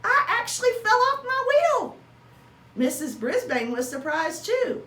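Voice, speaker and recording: A woman reading a children's story aloud in an animated way, drawing out words for dramatic emphasis. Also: very high voice, measured pace. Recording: roomy echo with faint noise.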